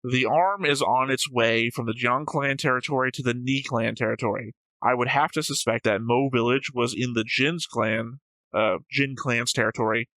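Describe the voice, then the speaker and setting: A man's voice: mid-range voice, sharp tone, no echo, rough recording. A man co-hosting a reaction show, talking casually and methodically as he explains and argues out his analysis.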